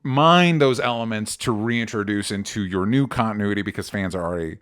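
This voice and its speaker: A man's voice: fairly low pitch, fairly quick, fairly bright tone, clear, resonant tone. A man hosting a casual pop culture discussion, opinionated and rambling, often circling back to correct himself and swearing casually. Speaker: podcast host.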